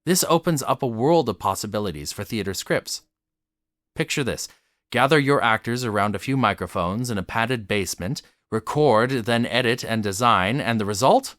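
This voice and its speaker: A man's voice: mid-range voice, animated, moderate pace, a bit bright, no room sound, clean studio sound. A man narrating a scripted video essay in an articulate, rhetorical way, speaking directly to the listener. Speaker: video essayist and theatre educator.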